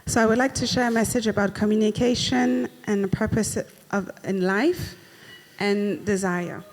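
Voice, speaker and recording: Female church interpreter, mid pitch, plain steady delivery, phrase-by-phrase relay. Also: measured pace, creaky. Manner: renders each phrase promptly, plain and steady. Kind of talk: live sermon interpreting.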